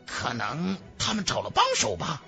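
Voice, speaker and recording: A man's voice: fairly high pitch, sing-song, normal speed, creaky, sharp tone, soft background music, full character voice. A man voicing a blunt, impatient fantasy cultivator with a curt, grumbling manner in an audiobook.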